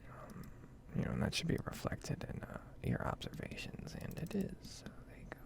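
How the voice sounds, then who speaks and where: A woman softly whispering a casual, rambling ASMR commentary over a game. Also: normal speed. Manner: soft-spoken, rambling, casual asides. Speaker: gaming video creator.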